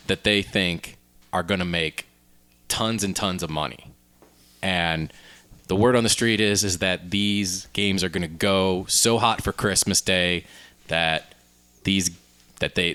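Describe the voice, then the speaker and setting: A man co-hosting a podcast, chatting informally and telling his ideas in a halting way. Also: low-pitched, a bit bright, smooth. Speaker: podcast co-host. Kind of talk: casual chat, pitching ideas among friends.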